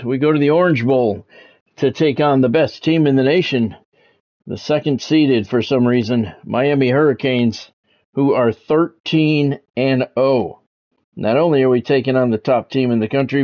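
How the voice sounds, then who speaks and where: Man streaming measured sports talk. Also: mid-range voice, a little mellow. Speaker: game streamer and sports commentator, former radio play-by-play announcer. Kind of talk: livestream monologue, sports talk to chat viewers.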